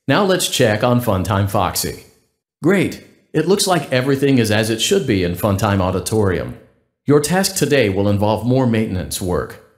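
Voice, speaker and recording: A man playing a blandly polite, cheerful robotic workplace guide in a game, reading scripted announcements with upbeat corporate formality and deadpan delivery. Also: mid-range voice, roomy sound, natural voice.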